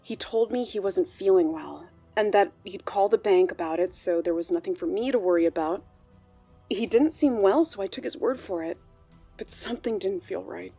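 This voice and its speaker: Actress as uneasy female witness, mid-pitched. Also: moderate pace, mellow, slightly rough. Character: worried female bank colleague recalling a troubling friend. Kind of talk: audio drama interview testimony. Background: background music.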